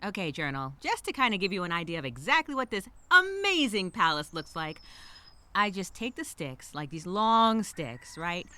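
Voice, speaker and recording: Woman acting a bright, anxious castaway, animated voice. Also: on the low side, moderate pace, a little hiss or hum. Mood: wry, resigned. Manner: rambling, nervous, self-questioning, sarcastic asides. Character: anxious stranded woman castaway journaling, nervously self-reassuring, wry. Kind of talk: first-person dramatic monologue as spoken journal.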